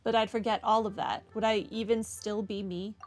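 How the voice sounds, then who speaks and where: A woman's voice: medium pitch, little pitch movement, normal speed. A woman hosting a video game playthrough, casually commenting and reading dialogue aloud with a wry manner. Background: music.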